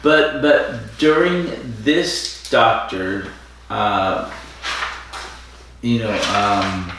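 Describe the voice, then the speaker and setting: A man's voice: on the low side, extremely slow, fairly bright tone, a little hiss or hum. A man in his fifties chatting casually after a few drinks, rambling, repeating himself and chuckling as he co-hosts. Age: 50s. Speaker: podcast co-host. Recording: echoey room, distant mic, faint ambience.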